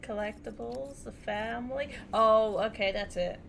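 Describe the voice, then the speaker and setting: A woman's voice: a little high, measured pace. A woman giving informal let's play commentary, thinking aloud as she plays a video game. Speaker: gamer playing through a video game. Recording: clear background noise and game ambience.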